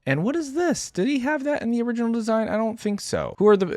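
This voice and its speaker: A man hosting a reaction video, giving casual commentary and thinking out loud as he goes. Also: extremely high pitch, very animated, fast, no echo, studio quality. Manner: musing aloud, thinking through reactions.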